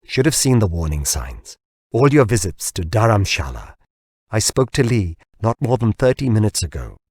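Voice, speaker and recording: A man voicing a weary, calculating male boss, deliberate, restrained and patronising, in audiobook dialogue. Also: fairly low pitch, very animated, a little mellow, husky, no room sound, studio quality. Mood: grave.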